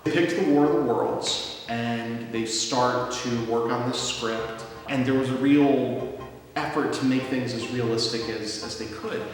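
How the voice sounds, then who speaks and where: A man's voice: mid-range voice, steady tone, unhurried. A young man talking casually and wryly in a documentary interview, often restarting his sentences. His voice rings in a roomy hall with a long echo, and music plays faintly under him. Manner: casual, wry, many restarts. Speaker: radio theatre writer and performer.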